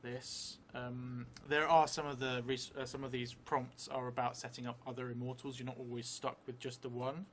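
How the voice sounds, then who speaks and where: A middle-aged man improvising a story for a solo tabletop game, haltingly thinking aloud and dictating as he writes. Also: mid-range voice, flat delivery, a bit bright. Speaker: solo role-playing streamer. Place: indoor theatre room, seated at a table. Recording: clearly noisy room recording.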